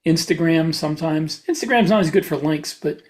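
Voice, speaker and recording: A man with a voice well on the high side talks in a casual panel chat. He speaks as a podcast co-host and writer. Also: a little fast, a little mellow.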